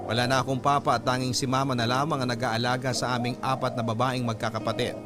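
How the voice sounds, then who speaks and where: A man with a Filipino accent reads a letter as dramatic first-person narration, like a radio drama host. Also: mid-range voice. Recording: prominent music behind the voice. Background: music.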